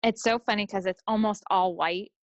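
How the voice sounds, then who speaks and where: A woman's voice: medium pitch, fairly even intonation, moderate pace, dry recording, highs cut off. A woman co-hosting a podcast in a casual, folksy and chatty way. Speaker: podcast co-host. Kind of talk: casual chat.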